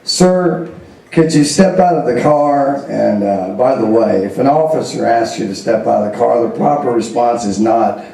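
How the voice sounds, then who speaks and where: Male comedian guest, slangy rambling storyteller, mid-pitched voice, heard from a distance in a very echoey club. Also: expressive, moderate pace, vocal fry, warm tone. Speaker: comedian guest on a live show. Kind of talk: comic storytelling, casual and profane. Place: comedy club stage before an audience. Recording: echoey live club stage, distant mic.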